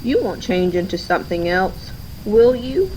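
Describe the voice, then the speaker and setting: A woman's voice: mid-range voice, very animated, measured pace, background noise. A woman voicing a hurt, indignant, lonely young girl in a storybook, speaking earnestly and emphatically. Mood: uneasy, wry.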